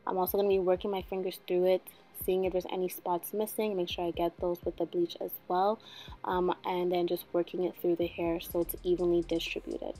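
A young woman in her twenties giving a casual hair tutorial at home, chatty and joking, with a slightly husky voice that she calls a smoker's voice. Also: lowish voice, little pitch movement, a little fast, warm tone. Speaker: beauty vlogger. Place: bedroom at home, indoors.

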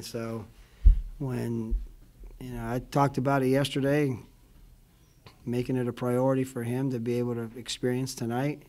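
Brief: middle-aged male coach, postgame presser, rambly and heartfelt, fills every pause with "you know", table mic. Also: mid-range voice, a little mellow. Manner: rambling, emotional, many fillers like "you know what I mean". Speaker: college basketball head coach. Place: indoor press room before a logo backdrop. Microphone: table mic in front of him.

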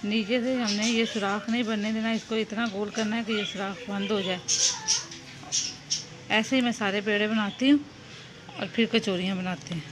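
A woman, calmly explaining a home cooking recipe step by step while she works. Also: mid-range voice, normal speed, long pauses. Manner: calm, instructive, talks while working with hands. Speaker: home cooking channel host. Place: home kitchen. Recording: loud background noise, birds chirping.

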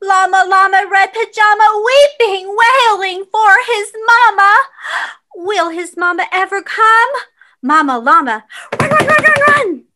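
A woman reading a children's picture book aloud with expressive, dramatic emphasis for young listeners. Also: extremely high pitch, measured pace. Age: adult.

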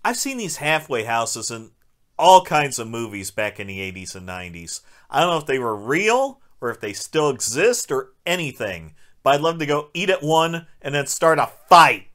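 A man's voice: mid-range voice, very animated, normal speed, a bit bright. A man recapping a film as a podcast host, with crude, sarcastic wisecracks.

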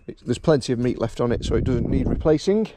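A man's voice: medium pitch, lively intonation, quick pace. A middle-aged man, a boat mechanic, talking through an outboard service in a chatty way with dry humor, recorded outdoors on a head-worn camera. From Wales. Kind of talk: hands-on how-to vlog narration. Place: outdoors beside a boat trailer by grassy dunes. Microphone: head-mounted camera mic. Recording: noisy outdoor camera audio.

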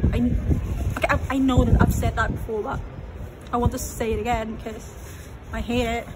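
A woman's voice: on the high side, level tone, fairly quick. A young woman vlogging outdoors on a phone at arm's length, chatting casually and laughing at herself, with a stuffy runny nose from pollen. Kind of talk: casual vlog chat to camera. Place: outdoors in a sunny city park and streets. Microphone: phone held at arm's length. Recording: loud outdoor street noise.

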